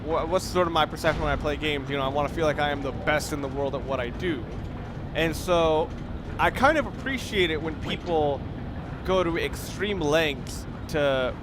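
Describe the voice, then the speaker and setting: A young man with a fairly high voice talks through a video game in a loose, rambling way, often stopping to correct himself. Clear background noise sits under his voice along with the game audio. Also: normal speed. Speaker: let's play commentator. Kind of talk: casual gameplay commentary.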